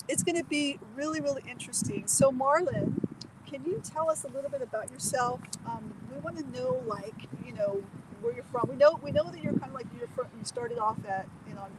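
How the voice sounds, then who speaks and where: Woman host for a live street interview. Bubbly and chatty, with a high, lively voice. Loud traffic noise behind her. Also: normal speed. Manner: bubbly, chatty, with many fillers. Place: outdoors by a bay.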